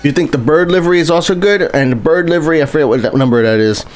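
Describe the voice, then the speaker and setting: A man's voice: a little high, fast talker, faint music behind. A man live-streaming a game, chatting casually with viewers and often restarting his sentences. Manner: rambling and chatty, lots of restarts, greets chat viewers. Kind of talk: casual live-stream commentary while playing.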